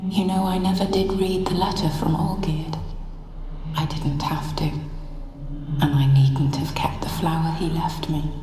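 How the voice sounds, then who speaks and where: A woman playing a melancholy, dreamy noblewoman in a video game, with hushed, wistful, formal speech. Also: low-pitched, level tone, measured pace, over music. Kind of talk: video game dramatic acting. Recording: roomy echo, noisy game ambience.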